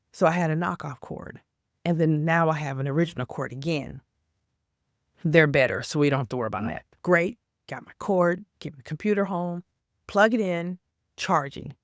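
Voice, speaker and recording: A woman with a raspy, hoarse voice from a cold, talking casually about faith and pushing through hard times. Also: deep voice, no echo, studio quality. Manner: rambling, stops and restarts, then earnest exhortation. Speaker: faith vlogger. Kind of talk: casual faith talk to camera.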